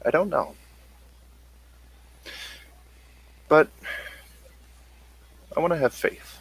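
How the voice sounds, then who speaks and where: Man playing a slow, measured, formal android. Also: a little high, big pitch swings, vocal fry, husky, voice pushed up, heavily acted. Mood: gentle, hesitant. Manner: slow, formal, deliberate phrasing. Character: measured, formal male android advising calmly.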